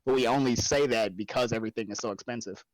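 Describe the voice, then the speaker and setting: A man's voice: medium pitch, a little hiss or hum, poor audio. Laid-back man with an Oklahoma accent. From Oklahoma, USA. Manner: laid-back, joking, drawn-out musing. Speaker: stream guest. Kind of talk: casual chat.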